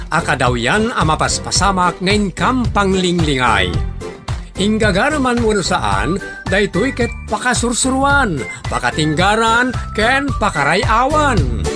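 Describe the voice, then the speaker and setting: Animated male drama announcer over music. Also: high-pitched. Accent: northern Philippine. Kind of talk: scripted radio drama introduction.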